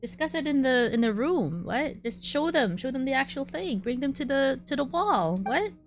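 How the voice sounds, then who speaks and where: A woman's voice: sits high, very animated, moderate pace. A woman game streamer giving casual live commentary, muttering her reactions as she plays. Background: game music.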